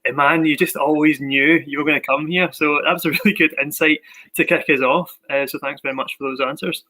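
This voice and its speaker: A man's voice: fairly high pitch, fairly even intonation, fairly quick, bright, forceful tone, rough recording. A man from the Glasgow area hosting a live university Q&A, upbeat and enthusiastic with frequent fillers. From Glasgow area, Scotland. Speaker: host of a university Q&A.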